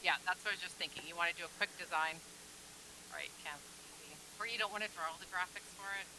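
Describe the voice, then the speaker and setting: A woman's voice: fairly low pitch, fast, background noise. A woman guest quilt designer in a casual chat, talking in an easygoing, hesitant way with frequent ums.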